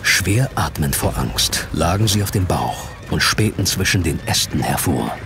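Deep male narrator, expressive and suspenseful, dramatic fantasy audiobook over clear ambient noise. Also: unhurried.

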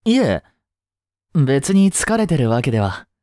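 A kind, easygoing schoolteacher, acted by a man for a voice drama. His pitch is mid-range and moves expressively, at an unhurried pace. He talks in a casual, gently concerned way, in a dry, close recording. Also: big pitch swings, dry recording. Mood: worried, polite. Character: caring, easygoing young male high school teacher. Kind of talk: scripted Japanese voice drama acting.

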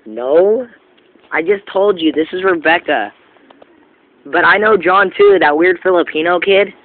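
A man's voice: sits high, measured pace. A man making a casual prank phone call in a pushy, insistent, teasing way, on a muffled phone recording. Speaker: prank caller.

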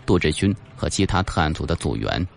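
A man's voice: extremely low pitch, big pitch swings, a little fast, gravelly, a little hiss or hum. A man reading a scripted suspense novel as an audiobook narrator, with a dramatic storytelling delivery.

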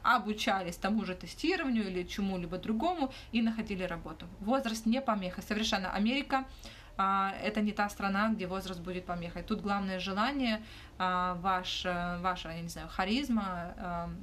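A young woman hosting a livestream Q&A in a small room, talking casually into her phone and often pausing with fillers. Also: mid-range voice, fairly bright tone, a little hiss or hum. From Ukraine. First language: not Russian. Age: young adult. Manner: hesitant, frequent "uh" fillers and restarts. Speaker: livestream host answering questions. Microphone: phone held close, front camera.

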